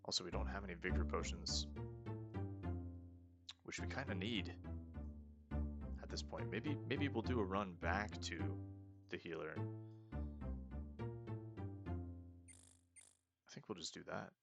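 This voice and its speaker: A man's voice: low-pitched, animated, unhurried, vocal fry, long pauses, over loud music. A man casually commenting as he plays a game, chatty and self-mocking as he thinks aloud. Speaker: let's play host.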